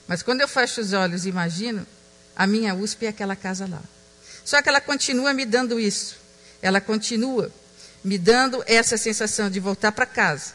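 A woman giving a reflective talk on stage, slow and emphatic, dropping to a hush on key words. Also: medium pitch, big-room sound. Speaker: speaker.

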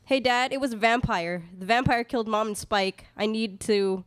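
A woman's voice: on the high side, moderate pace, clear, resonant tone, dry recording, close to an everyday voice. A young woman playing a headstrong daughter out for revenge in a live radio play. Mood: determined. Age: young adult. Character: vengeful, headstrong daughter hunting a vampire. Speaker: student radio play performer. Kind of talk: live radio theatre acting. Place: small classroom studio. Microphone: stand microphone, close.

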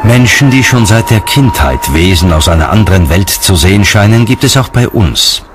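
A man narrating a documentary from a script in a measured, articulate way. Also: on the low side, expressive, music in the background.

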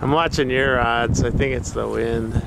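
A man chatting casually and excitedly as he films an outdoor fishing vlog on a camera microphone. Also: mid-range voice, normal speed, vocal fry. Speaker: fishing vlogger behind the camera. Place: outdoors on a snowy waterfront pier. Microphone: handheld camera microphone. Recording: noisy outdoor camera audio.

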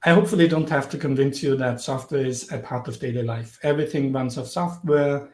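A man in his forties from Austria with an Austrian accent, giving a clear, lightly humorous guest lecture over a video call. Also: medium pitch, steady tone, brisk, darkish tone. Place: indoors, home or office, virtual background. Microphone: video call webcam. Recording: roomy video call audio.

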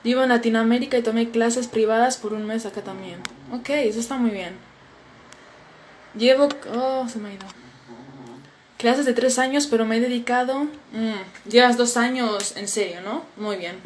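A young woman from with a slightly high voice, chatting casually into a phone at arm's length. She reads comments aloud and reacts in a friendly, drowsy way. Faint noise sits under the small room sound. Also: normal speed. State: sleepy. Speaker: Spanish teacher hosting a live stream. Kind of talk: casual live-stream chat reading viewer comments. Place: small indoor room at a table. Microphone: phone camera at arm's length.

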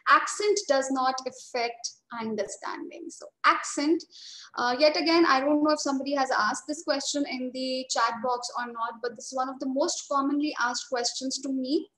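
A woman's voice: high-pitched, steady tone, moderate pace, a bit bright. A young woman with an Indian English accent, teaching a webinar as a trainer and explaining steadily and reassuringly over a video call. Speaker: IELTS trainer. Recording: roomy video call audio.

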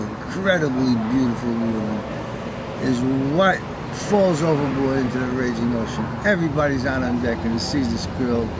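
An older man, a comedian, chatting casually and telling stories in a halting way, often repeating words. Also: mid-range voice. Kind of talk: casual chat and joke telling. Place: outdoor restaurant patio beside street. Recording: loud outdoor street and traffic noise. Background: cars and urban noise.

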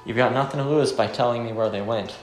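A man's voice: medium pitch, fast talker, natural voice. A man voicing a bold wandering fighter in a visual novel game, stoic, earnest and defiant. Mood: resolute, calm. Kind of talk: amateur visual novel voice acting. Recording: roomy with faint music.

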